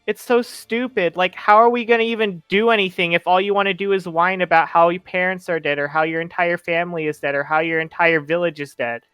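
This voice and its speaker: A player voicing an earnest, frustrated teenage boy orphan in a tabletop roleplay, speaking haltingly in an everyday voice. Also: medium pitch, steady tone, fairly bright tone, clear, resonant tone, no room sound, soft background music, lightly acted. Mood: frustrated, determined.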